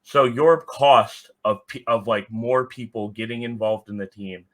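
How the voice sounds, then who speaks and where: A man's voice: mid-range voice, a little slow. A man giving casual, opinionated talk as a podcast guest, blunt in his manner. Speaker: podcast guest, soccer fan.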